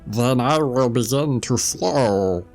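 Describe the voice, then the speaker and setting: A man voicing a talking little water spring in a read-aloud storybook, slow and measured. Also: mid-range voice, lively intonation, a little mellow, faint music behind, voice pushed up. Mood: grave.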